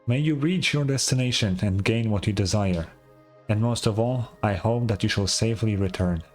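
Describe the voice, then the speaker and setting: A man's voice: lowish voice, faint music behind, natural voice. Give me a man acting a solemn video game chieftain who speaks formally, as if blessing someone. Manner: measured, formal, ceremonial.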